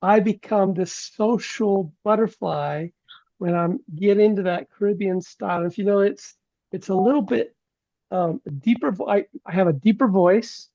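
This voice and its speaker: A man's voice: high voice, normal speed, vocal fry, mellow, no echo. A man from California sharing stories in a casual group discussion, rambling through anecdotes. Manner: rambling, anecdotal, many restarts. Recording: dull, dry lo-fi sound.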